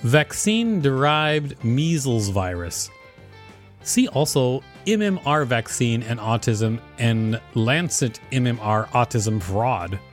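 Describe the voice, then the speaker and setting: A man's voice: medium pitch, lively intonation, slow pace, faint music behind. A man co-hosting a podcast, reading an encyclopedia article aloud and commenting on it. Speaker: podcast co-host.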